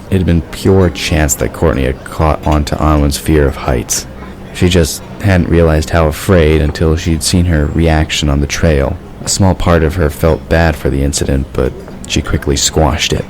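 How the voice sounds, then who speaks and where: A man's voice: a bass voice, a little mellow, a little hiss or hum. A man reading scripted story narration as the narrator of an audio drama.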